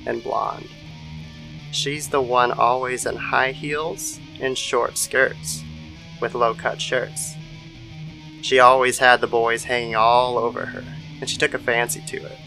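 A man reading a scripted dark story as narrator, in the manner of an old-time radio show. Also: medium pitch, creaky, poor audio. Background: soft guitar music.

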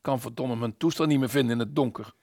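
A man's voice: mid-range voice, quick pace, darkish tone, no echo, clean studio sound, natural voice. A man playing a loyal, jovial British fighter pilot in a radio play, protective and exclamatory in his talk. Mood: calm, caring.

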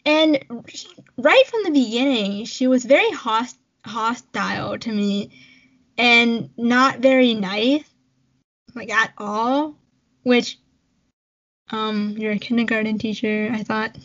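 A woman's voice: fairly high pitch, takes it slowly, a bit bright, highs cut off. A young woman whose first language is Cantonese, co-hosting a podcast in casual chat and telling a personal story with frequent laughs. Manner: chatty, laughs often, storytelling. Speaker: podcast co-host.

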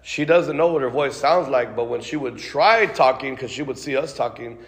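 A man's voice: medium pitch, animated, moderate pace. A man preaching a sermon and praying aloud in a casual, conversational way, full of restarts, with "God" and "Lord" tags. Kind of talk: sermon with spoken prayer. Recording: long reverb of a large hall.

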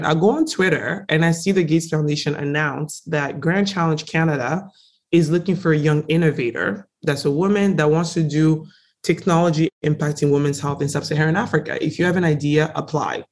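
A woman's voice: low voice, fairly quick. Emphatic young woman from Congo. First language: French. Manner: emphatic, animated, frequently stresses words. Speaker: guest entrepreneur. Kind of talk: casual interview storytelling.

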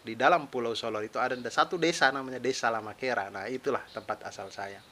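A man's voice: mid-range voice, quick pace, bright, forceful tone. A young adult man from eastern Indonesia with a mixed eastern Indonesian accent, hosting his own podcast in a chatty, self-deprecating solo monologue. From East Nusa Tenggara, Indonesia. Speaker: podcast host, stand-up comedian. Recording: faint hiss.

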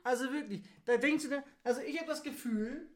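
A woman's voice: sits high, a little fast, roomy sound. A woman co-hosting a podcast, chatting casually in a blunt, opinionated and emphatic way. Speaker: podcast co-host. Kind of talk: casual conversational podcast chat.

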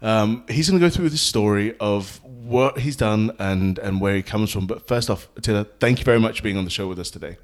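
A man hosting a podcast, talking in a casual, joking way. His voice sits low, and he restarts often and fills with "um". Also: brisk, hardly any pauses. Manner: chatty, joking, many fillers and restarts. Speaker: podcast host. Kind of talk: casual interview chat.

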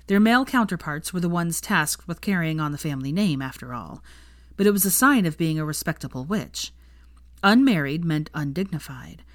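A woman's voice: low voice, no echo, clean studio sound. A woman reading fan fiction aloud as scripted story narration.

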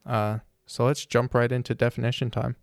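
A man hosting a casual podcast, husky and sick with a sore throat, talking in a thoughtful, rambling way. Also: mid-range voice, little pitch movement, fairly quick, over music. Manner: thoughtful, rambling, self-correcting. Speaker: podcast host.

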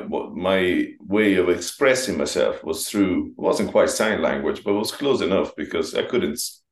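Deep-voiced host, roomy echo. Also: male voice. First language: not English. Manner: measured, reflective, gently questioning. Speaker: podcast host. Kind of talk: interview conversation.

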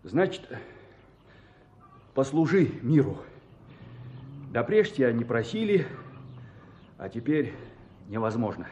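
A man's voice: mid-range voice, sing-song, slow, long pauses, darkish tone. An actor playing a talkative old peasant man in a radio play, insistent and folksy, with speech. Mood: earnest, persuading. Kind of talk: Russian radio play acting. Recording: dull, noisy old radio play recording.

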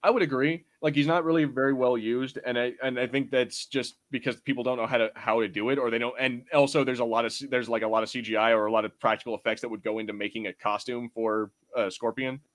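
A man's voice: medium pitch, steady tone, fast, hardly any pauses. A man co-hosting a podcast, chatting casually in a rambling, slangy way. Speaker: podcast co-host. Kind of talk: casual pop culture discussion.

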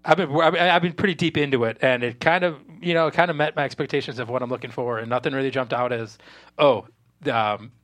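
A man speaking as a screenwriter guest in a casual panel chat, rambling and halting with repeated false starts. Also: medium pitch, a bit bright.

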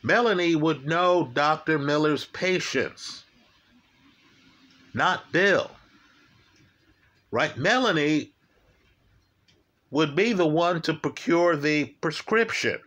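A man's voice: fairly high pitch, animated, a bit bright. A man talking through a criminal case, stressing key facts and checking in with his listeners. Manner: slow, emphatic, repeats key facts and asks "right?". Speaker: true crime commentator. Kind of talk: true crime case commentary.

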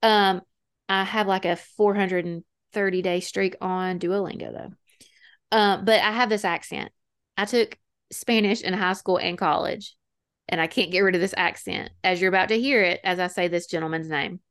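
A woman, roughly in her thirties or forties, hosting a casual true-crime podcast chat in a wry, self-deprecating way. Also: fairly low pitch, steady tone, moderate pace, a bit bright. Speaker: podcast host.

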